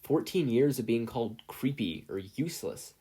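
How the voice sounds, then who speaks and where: Slightly husky male story narrator. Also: medium pitch, sing-song, normal speed, warm tone. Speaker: podfic reader, narrator.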